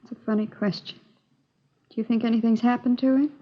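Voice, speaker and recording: A woman's voice: on the high side, steady tone, a little fast, close to an everyday voice. An actress playing an anxious, suspicious mother in a vintage radio drama, fretful and pleading. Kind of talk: 1950s radio acting. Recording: muffled vintage radio recording.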